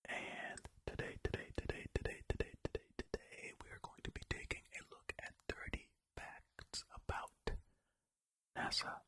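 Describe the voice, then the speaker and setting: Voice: measured pace, sharp tone. A host whispering an ASMR reading of facts, with rambling, jokey, self-deprecating asides in a casual way. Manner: whispering throughout. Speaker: host of a video channel.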